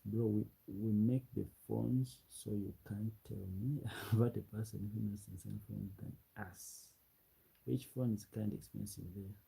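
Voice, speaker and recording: A young man with a very dark, husky voice with a gravelly edge. He chats in a relaxed, rambling way on a late-night livestream and often repeats his phrases. His voice sits a little low. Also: measured pace, low-quality recording. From Uganda. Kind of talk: late-night livestream chat, reading comments.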